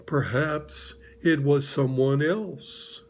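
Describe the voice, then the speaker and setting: A gentle, simple-minded storybook bear speaks slowly and plainly, always a little puzzled, as a man reads him. His voice sits slightly high for a man. He answers with few words. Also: slightly rough, full character voice.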